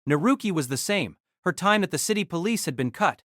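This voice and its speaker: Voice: mid-range voice, lively intonation, a little fast, a bit bright, dry recording, studio quality. A narrator reading scripted third-person story narration for an audio drama.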